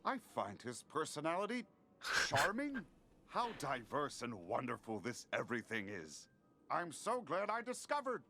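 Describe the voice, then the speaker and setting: Naive philosophical non-human game character: lively, wondering, formal diction, faint music underneath. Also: male voice, medium pitch, unhurried. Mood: delighted wonder. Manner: quaint, formal, wondering phrasing. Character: naive, curious, philosophical newly-sentient being. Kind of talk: video game character acting.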